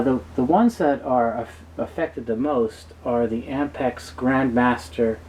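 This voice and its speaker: Guy explaining tech stuff as an expert, kinda halting, normal pitch, some noise behind him. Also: a little mellow, rough recording. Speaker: guest expert on reel-to-reel tape. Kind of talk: technical explainer interview.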